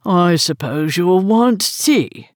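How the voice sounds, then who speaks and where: A woman voicing an old, drunk British woman with a low, gravelled, slurred and ferocious voice who drawls slowly and with contempt. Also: lively intonation, no echo, clean studio sound. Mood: scornful.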